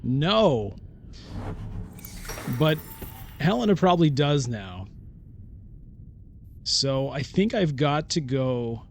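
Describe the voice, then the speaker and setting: A man giving casual game commentary as a let's-play host, thinking aloud in a halting, deliberating way and often questioning himself. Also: mid-range voice, very animated, slight background noise. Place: solo recording of a digital board game playthrough.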